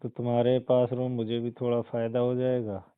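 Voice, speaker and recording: A man's voice: medium pitch, monotone, normal speed, smooth, dry recording, close to an everyday voice. A man playing a sweet-talking suitor, casual and coaxing, in an intimate phone-call conversation. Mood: romantic, coaxing. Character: smooth-talking suitor sweet-talking his lady love. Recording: muffled phone call recording.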